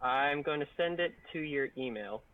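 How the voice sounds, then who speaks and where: A man's voice: fairly high pitch, expressive, normal speed, phone-quality sound. A man chatting casually and jokily as a co-host on a group livestream. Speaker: audio editor and co-host on a stream.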